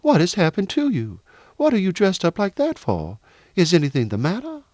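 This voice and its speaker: A man's voice: sits high, big pitch swings, normal speed, darkish tone, no echo, studio quality, pitched above the performer's own voice. A man voicing a genteel, anxious and proud middle-aged mother in an audiobook novel. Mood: alarmed.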